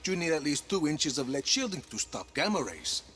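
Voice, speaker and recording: A cocky action hero, dry and teasing in his banter. His pitch moves freely and game music plays beneath him. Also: very animated, moderate pace, fairly bright tone. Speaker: game protagonist. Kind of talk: video game cutscene acting.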